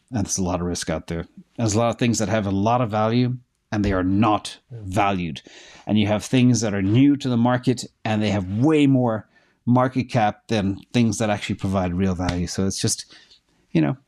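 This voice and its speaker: A man's voice: lowish voice, darkish tone. A middle-aged man who is losing his voice hosts a casual livestream, answering viewer questions and thinking aloud with fillers while he checks data.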